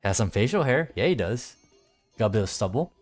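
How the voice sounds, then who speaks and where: A man's voice: lowish voice, very animated, a little fast. A man giving laid-back, musing live commentary as he streams a game. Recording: game music behind the voice.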